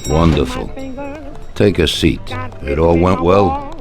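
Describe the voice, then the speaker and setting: Very deep-voiced, commanding mafia boss. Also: male voice, over music. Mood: quietly pleased. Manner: measured, authoritative. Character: commanding older mafia boss, measured and menacing. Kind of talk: video game cutscene acting.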